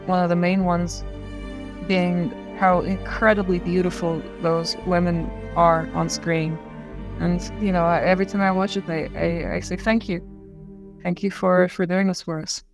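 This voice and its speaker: A woman giving casual, enthusiastic film commentary, repeating and rephrasing her points for emphasis. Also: lowish voice. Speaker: filmmaker discussing films as a guest.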